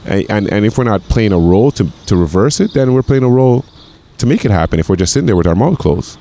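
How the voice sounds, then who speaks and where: A man's voice: on the low side, animated, quick pace, mellow. A man in his forties from Grenada, a chef guest talking casually, with lots of repeated words and fillers. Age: 40s. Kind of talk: casual conversation.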